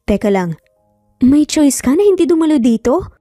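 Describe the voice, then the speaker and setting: Narrator as modest, spirited young Filipina secretary, mellow. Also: female voice, high voice, very animated, moderate pace, no room sound, studio quality, soft background music, voice pushed up. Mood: puzzled. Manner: polite, shy, questioning.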